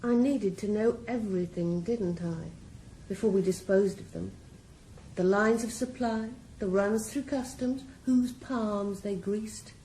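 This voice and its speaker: A woman's voice: medium pitch, unhurried, dark tone, natural voice. An actress in a radio drama playing a ruthless female drug boss, cool, composed and mocking. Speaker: criminal mastermind. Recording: roomy echo, faint background hiss.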